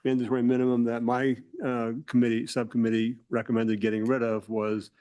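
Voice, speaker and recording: An American man with a mid-range voice puts a question to a witness at a committee hearing. He speaks haltingly, with frequent fillers and false starts as he gathers his thoughts. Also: medium pitch, completely flat delivery, dark tone. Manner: halting, many fillers and restarts. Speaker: legislator on the committee.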